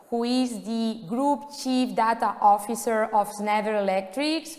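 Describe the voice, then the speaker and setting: A woman opens a conference with a brisk, welcoming delivery in a fairly high voice. Her voice trails a long hall reverb. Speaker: event host. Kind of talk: hosting a conference.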